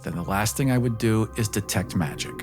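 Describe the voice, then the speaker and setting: A man's voice: fairly low pitch, lively intonation, fairly quick, over music. A man chatting casually as a player in a tabletop role-playing game, thinking through his character's actions.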